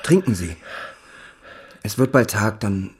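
Calm, very husky young man. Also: on the low side, takes it slowly, full character voice. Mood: calm, reassuring. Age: young adult. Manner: calm, soothing, matter-of-fact. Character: young Russian émigré man, calm, practical, reassuring. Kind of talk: radio drama acting.

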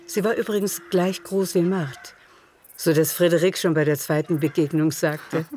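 A woman's voice: fairly low pitch, fairly even intonation, normal speed, warm tone. Woman narrating a literary radio drama. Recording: music behind.

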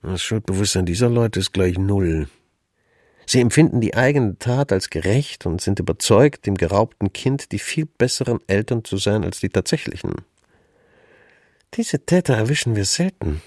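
Wry, pragmatic police commissioner for radio crime drama: very deep, husky, officially measured. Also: male voice, expressive, darkish tone. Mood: businesslike. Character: Italian male police commissioner, dry, worldly, pragmatic. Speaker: police investigator.

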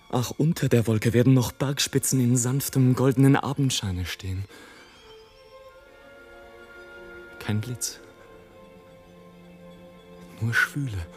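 An actor playing a brooding, defiant balloonist facing death, in a theatrical literary radio play monologue. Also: male voice, mid-range voice, close to an everyday voice. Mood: hushed, brooding. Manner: theatrical, swinging from hushed brooding to exclamation.

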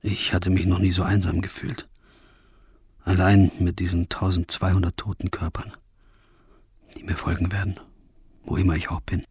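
An actor playing a haunted, guilt-ridden killer in a radio drama monologue, brooding and measured, dropping into breathy near-whispers. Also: male voice, low voice, monotone, a little mellow, full character voice. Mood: haunted, desolate.

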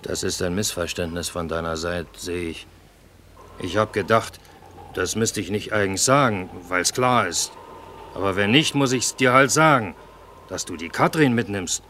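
An actor playing a fed-up, hard-headed cook in a radio drama, speaking bluntly and insistently. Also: male voice, sits low, moderate pace, pushed and bright, a little hiss or hum. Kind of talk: naturalistic stage-style radio drama.

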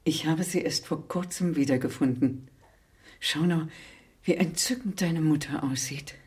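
Older aunt, deep breathy voice, fond, chatty, nostalgic, radio play. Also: female voice, a little slow, darkish tone, full character voice. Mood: fond, wistful. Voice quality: low, husky, breathy. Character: older aunt fondly reminiscing over family photographs. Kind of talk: radio drama dialogue.

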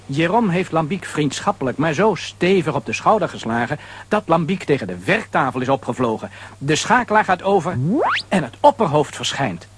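A man's voice: a little high, big pitch swings, moderate pace, a little hiss or hum. A man narrating a scripted audio drama for children as its storyteller.

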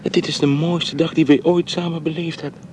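A man playing a tender young painter in love, speaking softly and often in a whisper in a radio drama. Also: a little high, flat delivery, brisk, slight background noise, natural voice. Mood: pleading. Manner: soft, intimate, often whispering. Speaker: suitor Jaap.

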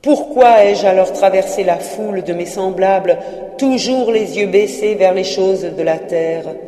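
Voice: medium pitch, measured pace, mellow, roomy sound, long reverb tail, close to an everyday voice. A narrator voicing the ghost of an old male miser, chained and remorseful, declaiming anguished laments. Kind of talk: audiobook character reading.

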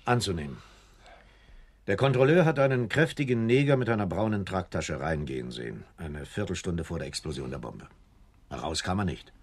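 An actor playing a wry, seasoned police captain with a dry manner in a 1970s German radio crime drama. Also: male voice, sits low, very animated, a little fast, husky, full character voice. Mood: matter-of-fact.

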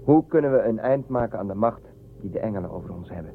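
A man's voice: fairly low pitch, animated, fast talker. An actor playing an earnest, insistent young spaceship crewman in a science-fiction audio drama. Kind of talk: science-fiction radio play acting. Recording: tinny narrow band, clear hum and noise.

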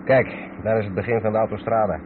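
A man's voice: lowish voice, steady tone, brisk, close to an everyday voice. A man playing a patient, knowledgeable truck driver explaining things in a radio drama. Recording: tinny old radio recording, loud background noise.

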